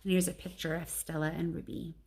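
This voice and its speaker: A woman's voice: deep voice, flat delivery, brisk, vocal fry, a little mellow, a little hiss or hum. A woman reading a children's storybook aloud as a teacher, narrating chapter text with brief asides.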